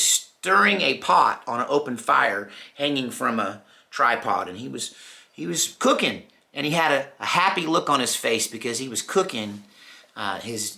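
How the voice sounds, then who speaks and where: A middle-aged American man with a gravelly, creaky voice and a bright, forceful edge. He tells personal stories in a folksy, reflective way, leaving unhurried pauses as he goes. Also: on the high side. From Texas, United States. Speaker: storyteller, channel host. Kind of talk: informal personal storytelling.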